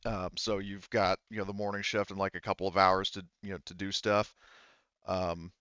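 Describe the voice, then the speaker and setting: A man casually running a tabletop roleplaying game as game master, halting and thinking aloud as he goes. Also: low-pitched, flat delivery, pushed and bright, smooth, dry recording, lo-fi sound.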